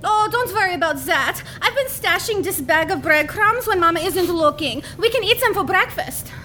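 A bratty little girl, acted by a woman, snaps and giggles through her schemes in a comedic audio drama. Her voice sits very high with a bright, cutting edge, and faint noise hums behind it. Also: animated, normal speed, heavily acted. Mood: bickering. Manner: snappy, bickering, giggly.

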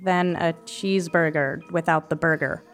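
Woman playing wry, deadpan young woman, lowish voice, dry wit. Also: normal speed, soft background music. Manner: dry, sardonic. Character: dry-witted vegetarian young woman, sardonic. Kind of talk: audio drama acting.

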